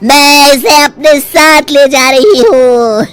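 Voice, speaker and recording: Vengeful cartoon witch, played by a woman: high, very slow, gloating menace with cackles. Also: fairly bright tone. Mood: menacing, gloating. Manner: slow, taunting delivery with cackles. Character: vengeful female witch menacing villagers, gleeful and threatening. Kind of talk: cartoon moral-story voice acting.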